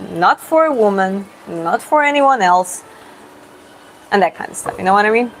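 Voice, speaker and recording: A woman's voice: mid-range voice, animated, normal speed, fairly bright tone, poor audio. A young Georgian woman with a medium voice talks straight to the camera, self-taught in English and often stopping to restart a word. Faint traffic hums behind her. She sounds casual and thoughtful. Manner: hesitant, restarts words, thinks aloud. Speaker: creator answering viewer questions. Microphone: phone or camera close up.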